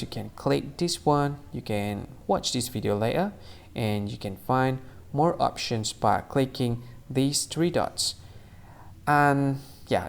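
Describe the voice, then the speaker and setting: Male online video host, casual explainer delivery, simple repetitive phrasing, noticeable vocal fry. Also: mid-range voice, darkish tone. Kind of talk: casual explainer to camera or screen.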